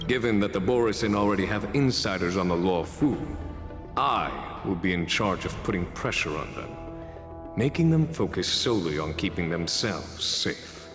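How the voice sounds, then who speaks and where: Game commander: low, assured, composed strategist, long reverb. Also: male voice, lively intonation. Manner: measured, assured, formal. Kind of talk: video game cutscene dialogue. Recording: echoey hall, faint music.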